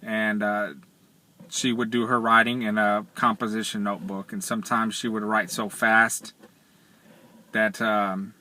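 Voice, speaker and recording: A middle-aged man retelling a story in casual, measured, halting speech, sitting in a car. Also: on the low side, bright, forceful tone. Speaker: vlogger. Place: inside a parked car. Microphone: camera or phone at arm's length.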